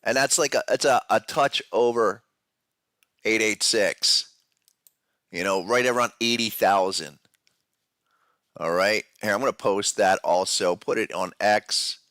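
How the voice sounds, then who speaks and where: A man hosting a live podcast about trading charts, talking in a casual, loose way with a head cold that deepens his voice. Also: measured pace, pauses often, a bit bright, no room sound, studio quality. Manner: casual, loose, with fillers and restarts. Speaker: podcast host. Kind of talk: live-stream market analysis chat.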